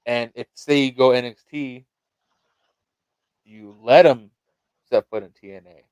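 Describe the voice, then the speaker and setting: Smooth-voiced man stammering through wrestling talk. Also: mid-range voice, unhurried, a little mellow, no echo. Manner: stammering restarts, chuckles at his own ideas. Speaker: wrestling show host. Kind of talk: casual livestream chat.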